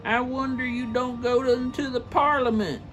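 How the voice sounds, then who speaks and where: A woman's voice: high voice, steady tone, normal speed, soft background music, natural voice. A woman voicing a miserly, grumpy old man in a storybook reading, curt, scornful and dismissive.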